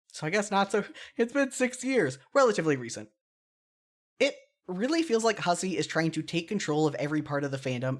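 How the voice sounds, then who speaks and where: A podcast host speaking solo in an informal, earnest and opinionated way, mixing scripted commentary with casual, self-deprecating asides. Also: medium pitch.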